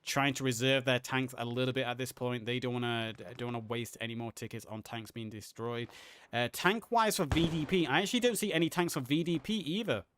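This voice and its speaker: A man casting a live game match, play-by-play with fillers, a little hoarse as he is losing his voice. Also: medium pitch, brisk, a little hiss or hum. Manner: excited play-by-play with frequent uh fillers. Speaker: esports caster. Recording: audible game gunfire and artillery behind. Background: game gunfire and artillery sounds.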